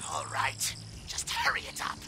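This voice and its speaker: A man voicing a cowardly, sarcastic robot lieutenant with a raspy, griping delivery in a video game. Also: moderate pace, close to an everyday voice. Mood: sarcastic, impatient. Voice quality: gravelly, raspy. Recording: loud music behind.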